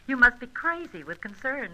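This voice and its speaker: A brash, bright flirt. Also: female voice, a little high, exaggerated voice, low-quality recording. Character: brash, flirtatious, mischievous American female adventuress. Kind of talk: old-time radio serial acting.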